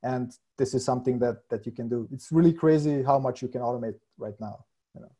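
A man whose first language is Hungarian, a software developer guest answering eagerly, laughing often and repeating words as he thinks. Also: mid-range voice, fairly quick. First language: Hungarian and Serbian. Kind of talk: casual interview answers.

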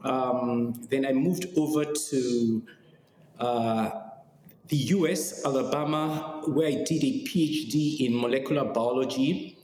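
A middle-aged man with a Nigerian accent, a podcast guest who explains things in a measured way with frequent pauses. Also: medium pitch, darkish tone. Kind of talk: podcast interview. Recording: echoey room with long reverb and faint noise.

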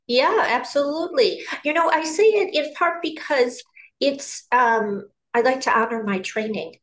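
A woman from Queens, a meditation teacher and author, explaining her practice calmly and carefully on a video call. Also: high voice, low-quality recording. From New York, Queens. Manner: calm, measured explanations with fillers. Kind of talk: interview guest. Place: outdoors or by a window in a loud city.

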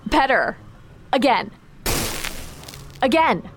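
An actress playing a caring but exacting magic trainer in a naturalistic audio drama, frank and instructive in manner. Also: female voice, high-pitched, animated, very slow, noisy background, exaggerated voice. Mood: focused, instructive. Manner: frank, instructive, gently concerned.

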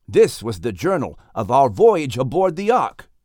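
A man's voice: mid-range voice, sing-song, a little slow, a little mellow, no echo, clean studio sound. A man voicing an elderly father in a storybook. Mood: excited. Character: elderly father, excited patriarch.

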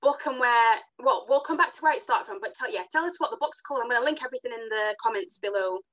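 A quick-talking woman hosting a casual podcast interview. Her voice sits a touch high, and she keeps the talk upbeat with enthusiastic, affirming responses to her guest. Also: expressive, fairly bright tone. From Africa. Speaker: podcast host.

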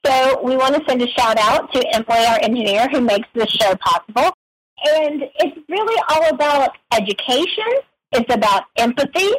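A woman's voice: high voice, expressive, moderate pace, slight background noise, rough recording. A woman co-hosting a business radio show, upbeat and giggly in playful banter. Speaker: radio co-host.

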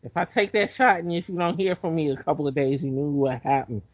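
A woman's voice: deep voice, fast, dry recording. A woman joking in slangy casual chat with friends, laughing often. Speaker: group chat participant. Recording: dull lo-fi sound.

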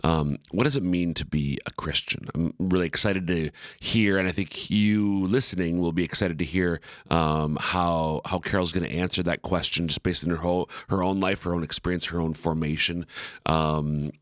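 A middle-aged man from Minnesota hosting an interview show, friendly but halting, restarting his sentences. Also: deep voice, no echo, studio quality. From Minnesota, USA. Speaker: podcast host.

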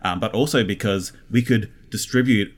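A man chatting as an interview guest, a podcast writer who tells anecdotes in an animated way. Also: lowish voice, normal speed. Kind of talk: casual anecdotal interview chat.

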